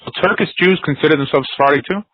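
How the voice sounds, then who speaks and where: A man's voice: fairly high pitch, very fast, muffled recording. A man, casually chatting and telling stories as host of a talk. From United States. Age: 40s. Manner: relaxed, chatty, storytelling. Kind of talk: casual conversation.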